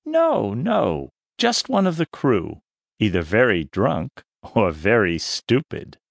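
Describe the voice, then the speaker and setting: An actor playing a Chinese guide on a dockside in an audiobook, explaining things in a deliberate, theatrical way. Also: male voice, fairly low pitch, wildly swooping pitch, vocal fry, no echo, studio quality, exaggerated voice. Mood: dismissive. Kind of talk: dramatized audiobook acting.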